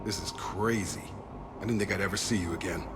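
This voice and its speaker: An actor playing a loyal, stubborn soldier in a video game cutscene, plain-spoken and clipped. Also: male voice, deep voice, a little fast, heavy background noise, close to an everyday voice. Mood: reflective, warm. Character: loyal, stubborn male soldier, injured but determined.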